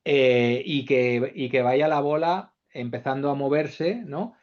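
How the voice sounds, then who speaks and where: A man's voice: medium pitch, slow, darkish tone, muffled recording. A man hosting a webinar interview, summarizing and asking questions hesitantly with many fillers. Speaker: host interviewer.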